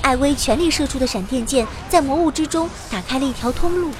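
A woman playing a timid young adventurer girl, narrating a battle in first person with expressive radio drama delivery. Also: high-pitched, fast, over music, natural voice. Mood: tense storytelling. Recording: noisy battle effects behind.

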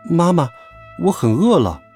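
A man's voice: on the high side, sing-song, measured pace, mellow, breathy edge, soft background music, full character voice. A man voicing a plucky, put-upon young schoolboy in a storybook. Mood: subdued.

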